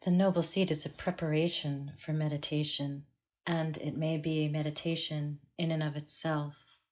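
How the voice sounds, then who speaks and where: A woman teaching a guided meditation in a calm, measured way with long pauses. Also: low voice.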